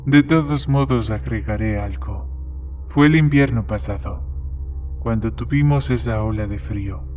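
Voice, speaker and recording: A man's voice: lowish voice, measured pace, a little mellow, close to an everyday voice. Man acting a bartender storyteller over music. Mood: matter-of-fact. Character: plain-spoken bartender telling a grim local horror tale. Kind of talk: dramatized short-story reading. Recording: music behind the voice.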